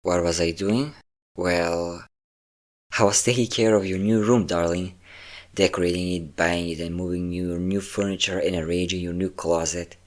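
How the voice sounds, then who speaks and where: A man acts out a centuries-old male vampire with a low-pitched voice, speaking intimately to the one he holds captive. He is possessive yet doting, coaxing with teasing endearments in an audio roleplay. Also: steady tone, normal speed, close to an everyday voice. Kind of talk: intimate scripted audio roleplay addressed to a listener.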